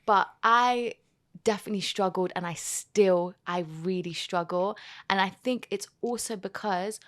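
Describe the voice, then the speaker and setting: A young woman talks as a podcast guest, chatty and expressive, sprinkling "like" through her sentences. Her voice sits at a middling pitch, and the recording is dry and close with little room sound. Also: unhurried, no echo. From London, England. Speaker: guest, TV and radio presenter. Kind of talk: casual podcast chat.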